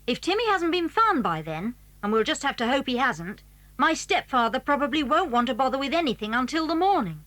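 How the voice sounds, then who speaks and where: A woman's voice: high-pitched, sing-song, a little fast, a bit bright, dry recording. A woman acting a plucky, scheming British schoolboy in a children's audio drama. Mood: plotting, determined.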